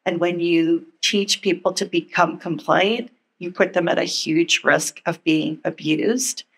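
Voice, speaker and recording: An older woman and former educator giving an earnest, measured comment at a panel, speaking into a handheld mic in a large meeting room. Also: on the low side, slightly rough, low-quality recording. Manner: earnest, measured, thinking aloud.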